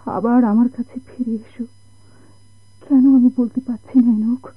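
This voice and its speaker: An actress playing an anxious, sorrowful wife and mother in a radio drama, with halting, plaintive delivery. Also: a little high, little pitch movement, slightly rough, no room sound. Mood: anxious pleading. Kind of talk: Bengali radio drama acting. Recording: tinny, band-limited old radio recording.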